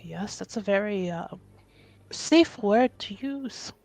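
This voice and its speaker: A woman playing a poised, courteous, faintly displeased woman with formal, measured phrasing in a tabletop roleplay. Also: mid-range voice, lively intonation, darkish tone.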